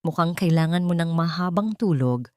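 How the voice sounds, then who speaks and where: A woman's voice: lowish voice, expressive, normal speed, no room sound, clean studio sound, close to an everyday voice. A woman acting a sly, taunting young mistress. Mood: falsely sweet, mocking. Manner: sweetly insulting, taunting.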